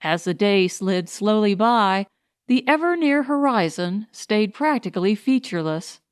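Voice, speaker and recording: A woman's voice: mid-range voice, expressive, measured pace, clean tone, no echo, studio quality. A woman reading scripted audiobook narration of a pulp science fiction story.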